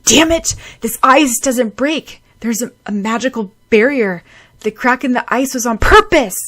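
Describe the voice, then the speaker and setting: A woman voicing a brash, hot-tempered young male protector who shouts and threatens. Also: fairly high pitch, lively intonation, measured pace, voice pushed up. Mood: frustrated.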